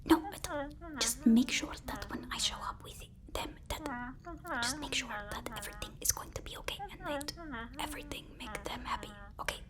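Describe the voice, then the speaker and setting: A woman playing a devoted, anxious assistant to a supervillain in an ASMR roleplay, whispering in a halting, soothing, deferential way. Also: fairly high pitch, crowd noise, natural voice. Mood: hushed, stern.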